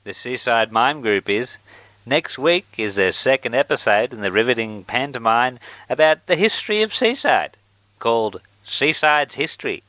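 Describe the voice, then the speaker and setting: A man's voice: medium pitch, expressive, moderate pace, sharp tone, poor audio. A man playing an upbeat community announcer. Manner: upbeat promo delivery.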